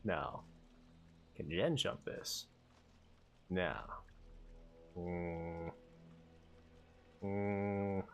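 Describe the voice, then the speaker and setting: A man's voice: low-pitched, fairly even intonation, drawn-out words, pauses often, soft background music. A man casually commentating his gameplay, cursing and rambling with self-mocking jokes. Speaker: let's player.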